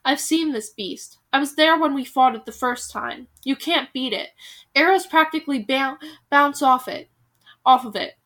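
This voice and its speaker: A woman's voice: sits high, normal speed. Woman voicing a scornful bald brute of a man. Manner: shouting, scoffing. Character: tall bald muscular man, scornful and angry.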